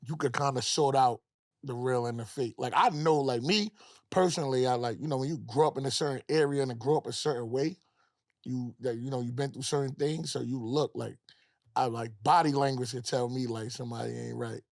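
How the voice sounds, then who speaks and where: A man's voice: mid-range voice, steady tone, brisk, no room sound, clean studio sound. A man, a music artist and podcast guest, talking in a slangy, rambling way full of fillers. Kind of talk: casual conversation.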